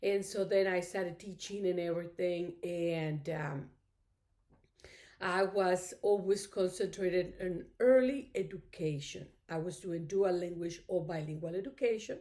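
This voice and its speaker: A middle-aged woman with a somewhat low voice, whose first language is Spanish. She talks casually to camera, rambling and thankful, leaning on "you know" as she goes. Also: measured pace, mellow. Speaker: craft vlogger.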